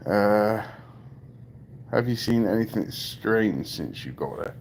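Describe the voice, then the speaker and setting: A man's voice: on the low side, expressive, takes it slowly, creaky, a little mellow, slight background noise. A British man in his forties hosting a livestream, casually chatting and joking as he reads viewer comments aloud and answers them. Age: 40s. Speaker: livestream host. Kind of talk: casual live chat reading viewer comments.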